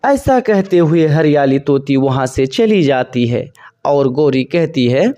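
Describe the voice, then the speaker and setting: A woman reading scripted narration for a children's animated bird story. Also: deep voice, a little slow, lo-fi sound.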